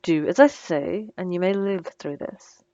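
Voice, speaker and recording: Woman acting as a female supervillain mentor, lowish pitch, teasing, condescending, coolly menacing, ASMR roleplay, muffled noisy recording. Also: a little slow, darkish tone, lightly acted. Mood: stern, threatening. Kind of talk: scripted ASMR audio roleplay.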